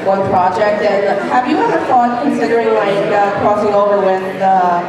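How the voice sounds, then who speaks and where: A man in a convention audience asking a question in a halting, casual way. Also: high voice. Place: large convention hall. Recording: echoey hall, distant, crowd noise.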